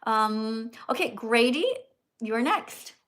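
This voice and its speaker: High-voiced older woman hosting a livestream. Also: animated, takes it slowly, a bit bright. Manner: chatty, teacherly, repeats "okay?" often. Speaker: livestream host. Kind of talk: casual livestream chat with callers.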